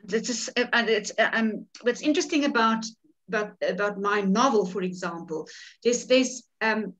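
A woman's voice: mid-range voice, normal speed, poor audio. A woman from South Africa with a South African accent, whose first language is Afrikaans, talking casually as an author in a workshop over a video call, with many restarts and repeated words. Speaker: author leading a writing workshop. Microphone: video call webcam.

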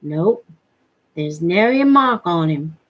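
A woman voicing a mild backwoods hunter father telling tales in a folksy, unhurried way for a novel reading. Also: on the low side, expressive, dull audio, pitched below the performer's own voice. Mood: mild, sly.

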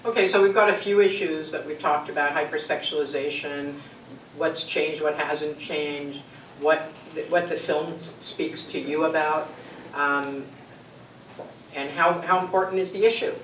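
A woman moderating a panel discussion, brisk and plain-spoken as she invites questions from the audience. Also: low-pitched, steady tone, fairly bright tone, slight background noise. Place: university lecture room. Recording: echoey hall from far off.

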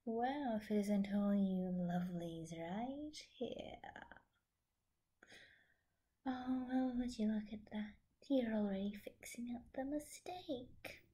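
Woman acting a breathy, taunting teenage supervillainess. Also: fairly high pitch, warm tone. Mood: bitter, accusing. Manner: taunting, theatrical, drawn-out phrases. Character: vengeful teenage supervillainess, mocking, gleefully bitter. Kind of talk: fan drama voice acting.

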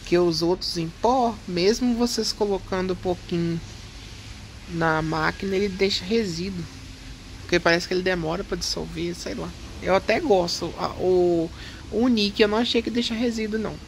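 A middle-aged Brazilian man with a high, creaky voice, chatting casually and expressively in a home vlog. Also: moderate pace, slight background noise, poor audio. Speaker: home vlogger.

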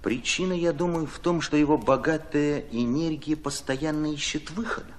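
An actor playing an earnest, ardent Red Army commissar in a radio drama, speaking in deliberate, impassioned phrases. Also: male voice, a little high, natural voice. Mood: earnest, thoughtful.

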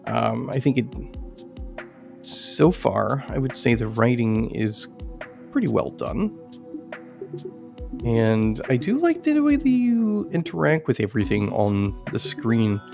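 A man's voice: mid-range voice, very animated, slow, vocal fry, pauses often, over music. A man giving casual gameplay commentary, musing and thinking aloud as he goes. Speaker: game streamer reviewing indie games.

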